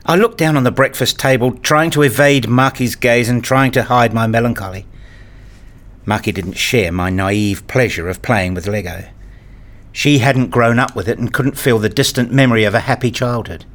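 A man playing a wistful, fussy married father, narrating a radio play in the first person in a measured, reflective way. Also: medium pitch, fairly bright tone, lightly acted.

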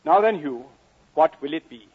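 An actor playing a hearty, affectionate, proud Welsh father with a husky voice in an old radio drama. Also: a little high, lively intonation, moderate pace. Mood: proud, fond. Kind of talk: 1940s-50s radio drama acting. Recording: dull old radio, reverberant.

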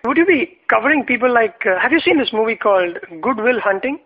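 High-voiced male interviewer, hesitant. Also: expressive, quick pace, breathy edge, lo-fi sound. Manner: hesitant, many "uh" fillers while asking. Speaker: interviewer, host. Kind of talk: radio interview questions.

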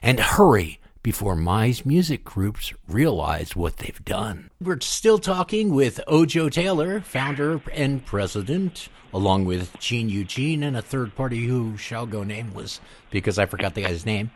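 A man's voice: medium pitch, lively intonation, a little slow, few pauses. A man hosting a music podcast in a casual, informal and jokey way. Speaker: podcast host. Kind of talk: casual music interview and sponsor read.

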